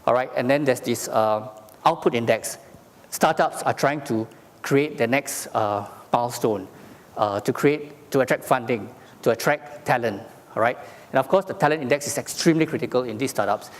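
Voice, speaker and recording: A man's voice: mid-range voice, normal speed. A man from Singapore gives a conference talk in a mid-range voice, explaining his points step by step. He leans on fillers and tag questions as he goes. His voice carries a long reverb from a large hall. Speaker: conference speaker.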